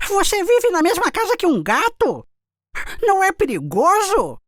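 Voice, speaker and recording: A woman's voice: very high voice, huge pitch swings, measured pace, a little hiss or hum, full character voice. A woman with a Brazilian accent voicing a humble, friendly male country mouse in a children's cartoon fable. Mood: worried. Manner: friendly, earnest, exclamatory.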